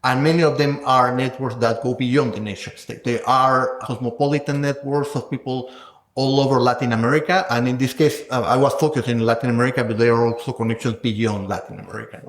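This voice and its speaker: A man speaking as an interviewed scholar, explaining ideas conversationally in a thoughtful way and checking in with small tag questions. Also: mid-range voice. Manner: thoughtful, explanatory, tags phrases with "no?" and "right?". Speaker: sound scholar, interviewed guest. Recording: echoey room.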